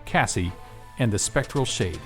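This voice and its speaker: A man's voice: lowish voice, lively intonation, unhurried, a bit bright, soft background music. A man announcing a scripted audio drama trailer.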